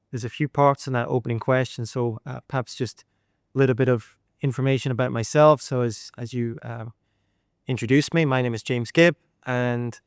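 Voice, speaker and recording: A middle-aged man from Scotland with a Scottish accent, giving reflective, steady answers as a leadership coach on a podcast. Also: medium pitch, clear, resonant tone, dry recording, clean studio sound. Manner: reflective, steady, uses sayings and examples. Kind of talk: measured podcast interview answers. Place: Dubai.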